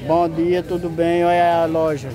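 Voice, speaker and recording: A man's voice: fairly high pitch, completely flat delivery, moderate pace, crowd noise. A man with a Northeastern Brazilian accent chatting casually and narrating a street vlog, often repeating his phrases. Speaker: vlogger. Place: outdoor street market in a town square. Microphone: handheld camera, speaker behind it. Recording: noisy outdoor street recording with traffic.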